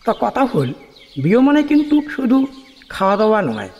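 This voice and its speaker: A man voices a sly, persuasive village matchmaker with a Kamtapuri accent, speaking in a high voice. The voice rings out with a long hall reverb. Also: huge pitch swings, brisk, creaky, lo-fi sound, full character voice. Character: sly middle-aged village matchmaker, Kalachan. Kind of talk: rural village radio play acting.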